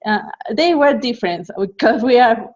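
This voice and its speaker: A woman's voice: fairly high pitch, expressive, normal speed. Hesitant woman from Mexico, dull audio. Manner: hesitant, many uh fillers, restarts. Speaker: student in group discussion. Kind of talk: casual discussion.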